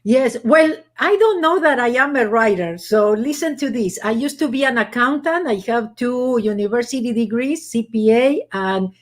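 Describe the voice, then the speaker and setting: A woman from Argentina speaks English with a Spanish accent, her voice sitting a little high. She tells her stories in a loose, chatty way, full of fillers and easy laughs. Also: animated, moderate pace, few pauses. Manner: warm storytelling with frequent laughs and fillers. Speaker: guest author. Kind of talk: casual interview chat.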